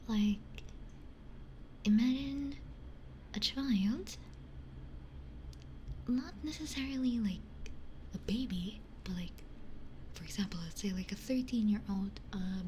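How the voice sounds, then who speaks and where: A woman speaks in a breathy, intimate whisper right up at a binaural mic, with faint noise behind her. Her pitch sits in the middle for a woman. She chats casually and unhurriedly, musing in short, broken phrases like an ASMR streamer. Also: a little mellow. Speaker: ASMR livestreamer. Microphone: close binaural ASMR microphone. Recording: close-miked with faint background noise.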